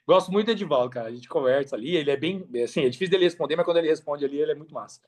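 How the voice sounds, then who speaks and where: A Brazilian man chatting casually as a podcast guest, speaking emphatically, repeating phrases and using plenty of slang. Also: a little high. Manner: emphatic, repeats phrases, slangy, animated storytelling with acted-out quotes. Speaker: podcast guest, affiliate marketer.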